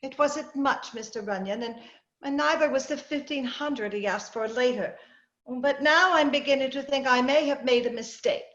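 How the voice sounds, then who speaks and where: A worried, proper middle-aged lady acted by a woman for a radio mystery. She speaks hesitantly and earnestly in a slightly high voice. The sound is dull and noticeably roomy, like a video call. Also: moderate pace, a bit bright. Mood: apologetic. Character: polite, earnest, troubled middle-aged well-to-do wife. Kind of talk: old-time radio drama acting.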